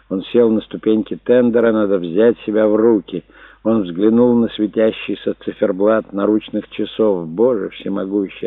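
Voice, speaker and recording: A man's voice: lowish voice, no echo. A man reading a novel aloud as a dramatic, scripted audiobook narration. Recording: dull narrow phone-like sound.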